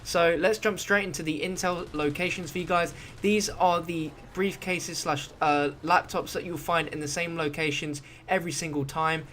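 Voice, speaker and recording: A man hosts a gaming video in casual commentary. His voice sits a little high, and there is noise behind him. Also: steady tone, normal speed, hardly any pauses, a bit bright.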